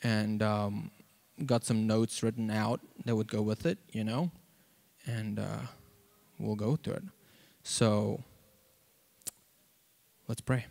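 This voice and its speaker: A man gives an informal sermon and testimony into a handheld mic, halting and conversational, with lots of "like" and "you know.". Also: lowish voice, fairly even intonation, creaky, darkish tone. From South Africa. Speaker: preacher. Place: church auditorium, on stage before seated congregation. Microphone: handheld microphone.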